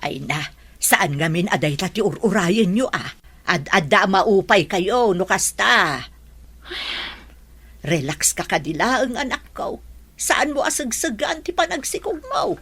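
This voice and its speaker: A woman's voice: medium pitch, animated, a little slow, a bit bright, slightly rough, heavily acted. A woman playing a concerned mother advising her married daughter in a radio drama, motherly and emphatic, with an Ilocano accent. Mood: chiding, soothing. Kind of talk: Filipino radio drama acting.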